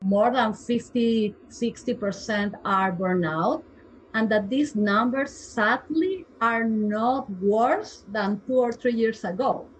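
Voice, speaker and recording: A woman's voice: a little high, takes it slowly, husky, rough recording. A woman in her forties from Peru with a Peruvian Spanish accent, a physician guest speaking earnestly and emphatically in a podcast interview.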